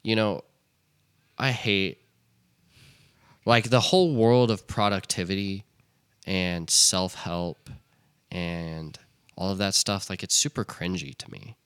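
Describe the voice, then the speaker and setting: Young male podcast co-host, low and bright, rambling casual delivery. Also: fairly even intonation, takes it slowly, long pauses, smooth, dry recording, clean studio sound. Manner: rambling, many restarts and "like". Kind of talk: casual chat.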